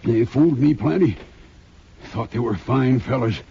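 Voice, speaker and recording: Husky, groggy frontier banker. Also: male voice, mid-range voice, measured pace, dark tone, exaggerated voice. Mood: groggy, rueful. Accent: American. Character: groggy, rueful townsman banker in the Old West. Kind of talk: 1950s radio western acting. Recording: muffled 1950s radio recording.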